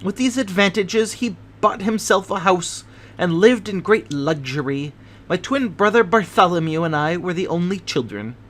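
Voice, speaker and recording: A fussy, nervous gentleman with refined airs, as a male reader puts him on. He speaks in a prim, precious, fretful manner, in a slightly high voice. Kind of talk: audiobook character voice.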